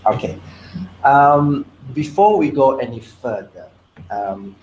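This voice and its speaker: Hoarse man presenting in an echoey room. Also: medium pitch, expressive, takes it slowly, a little mellow, slight background noise. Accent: Malaysian English. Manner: conversational, quizzes the audience. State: losing his voice. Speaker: commercialization specialist. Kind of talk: workshop presentation. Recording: echoey room, faint ambience.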